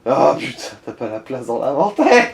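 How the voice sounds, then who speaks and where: A man's voice: mid-range voice, sing-song, normal speed, gravelly, reverberant. A man chatting casually and crudely as he advises someone playing a video game. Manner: crude, slangy. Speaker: co-commentator advising the player. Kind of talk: casual gaming chat.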